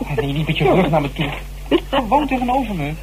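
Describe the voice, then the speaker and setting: An actor playing an eager, cheeky young male amateur sleuth in a Dutch radio drama, explaining things in an animated, teasing way. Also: fairly high pitch, fast talker. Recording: noisy old radio play recording.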